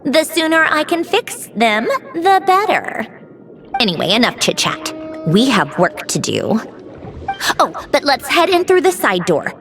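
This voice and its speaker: A woman playing an aloof, proud young woman in an anime-style video game, with a brisk, cool, skeptical manner. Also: high voice, very animated, music in the background, exaggerated voice. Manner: clipped, cool, dismissive.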